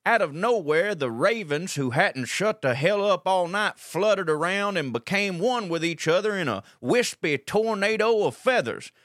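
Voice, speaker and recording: A man's voice: high-pitched, lively intonation, normal speed, hardly any pauses, a bit bright, no room sound, studio quality. A man with a Southern US accent acting a folksy colonel detective who narrates a comic audio drama with wry asides. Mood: dramatic, folksy. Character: folksy, digressive Southern colonel detective telling his tale.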